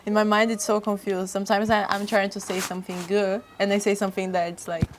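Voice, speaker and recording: A young woman from Brazil with a Brazilian Portuguese accent, speaking English as a second language, chatting as a podcast guest with halting phrasing. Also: medium pitch, flat delivery, slight background noise. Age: young adult. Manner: halting non-native phrasing, frequent laughs. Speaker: guest model. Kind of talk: casual interview chat.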